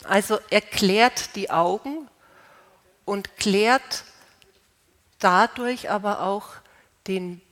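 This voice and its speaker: A woman with a slight cold calmly explaining how to use healing stones, unhurried, with fillers and restarts. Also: medium pitch. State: slight cold, clearing throat. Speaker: gemstone healing presenter.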